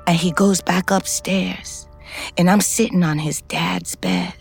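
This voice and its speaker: A weary woman ex-convict from a fiction podcast. Her voice sits low and crackles with fry. She talks bluntly and haltingly, swearing as she confides, over faint music. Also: sing-song. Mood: stoned, reflective. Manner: halting, blunt, conversational, profane. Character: weary, foul-mouthed, wary woman ex-convict, a rootless New Yorker. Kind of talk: confessional fiction podcast narration and dialogue.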